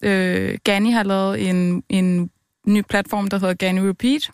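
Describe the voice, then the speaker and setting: Female interviewee, twenties, mid-pitched, informal with bits of English slang, recorded dry. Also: fairly even intonation, clean tone, no room sound. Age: 20s. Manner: informal, mixes in English phrases. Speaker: interview guest, master's student. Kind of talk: casual chat.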